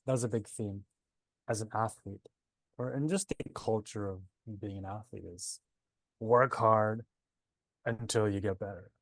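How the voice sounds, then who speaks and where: A man's voice: fairly low pitch, unhurried, pauses often, fairly bright tone, dry recording. A man in his twenties from Nepal and Canada, telling his personal story in a conversational interview. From born in Nepal, moved to Canada at seven. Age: 20s. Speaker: interview guest, breathwork teacher.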